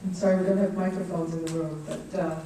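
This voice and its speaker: A woman politely moderating a formal question session in a measured way. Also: on the low side, little pitch movement, warm tone. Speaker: moderator of a briefing. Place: meeting room without microphones for speakers. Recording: echoey room, distant microphone, faint noise.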